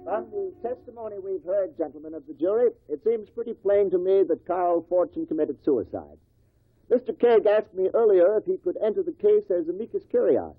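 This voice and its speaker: An actor playing an official, plain-spoken coroner who formally explains matters to a jury in a 1950s radio drama. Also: male voice, sits high, expressive, brisk, husky, no room sound. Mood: official, matter-of-fact. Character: brisk, official male coroner presiding over an inquest. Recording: tinny, dry old radio recording.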